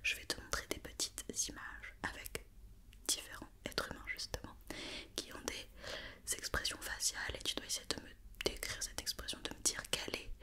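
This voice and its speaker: A woman whispering softly in an ASMR roleplay as a company doctor, gently reassuring and giving step-by-step instructions. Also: moderate pace. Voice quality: soft unvoiced whisper.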